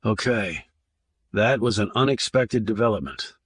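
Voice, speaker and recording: A man voicing a calm male investigator who speaks in a steady, reassuring way. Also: on the low side, a little slow, husky, no room sound, clean studio sound. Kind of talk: audiobook narration.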